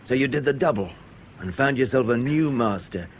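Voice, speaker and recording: A male intelligence officer in a radio drama, played by an actor, with a mid-pitched, widely moving voice over faint noise. He questions in a measured, pointed way, controlled and dogged. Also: lively intonation, close to an everyday voice. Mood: probing, grave.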